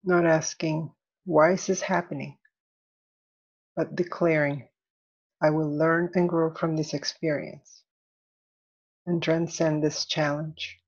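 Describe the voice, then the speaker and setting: A woman leading a guided meditation as an instructor, soothing and deliberate, in measured phrases with long pauses. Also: sits low, flat delivery, husky, dull audio.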